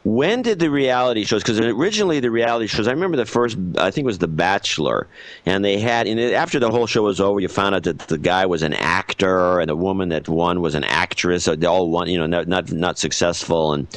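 A man co-hosting a podcast, talking in an opinionated, animated way in casual chat. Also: sits low, quick pace, no room sound. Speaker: podcast co-host.

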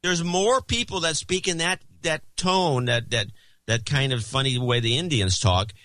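Bright, wry male podcast co-host. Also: fairly high pitch, moderate pace, no room sound. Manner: wry, teasing, digressive. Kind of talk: casual opinionated banter.

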